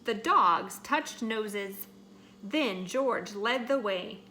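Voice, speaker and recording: A woman reading a children's picture book aloud in an expressive, dramatic and deliberate way. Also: mid-range voice, a little hiss or hum. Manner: dramatic, deliberate delivery with acted sound words. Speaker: storybook reader.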